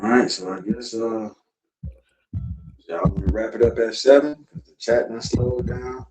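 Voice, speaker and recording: A man's voice: mid-range voice, steady tone, measured pace, music in the background. A man from South Florida hosting a casual talk show, lecturing loosely and swearing freely. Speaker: podcast host. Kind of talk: casual chat. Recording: distant mic with faint noise.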